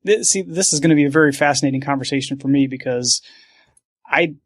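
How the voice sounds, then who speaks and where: A man hosting a podcast, asking relaxed, thoughtful questions in casual conversation. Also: on the high side, quick pace, dry recording, clean studio sound. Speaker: podcast host.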